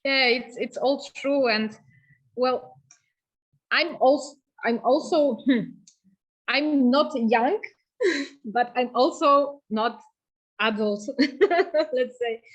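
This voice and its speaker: A woman in her thirties from Poland, speaking as a just transition activist on a panel, halting and repeating words. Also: high-pitched, rough recording. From Eastern Wielkopolska, Poland. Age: 30s. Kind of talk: online panel discussion.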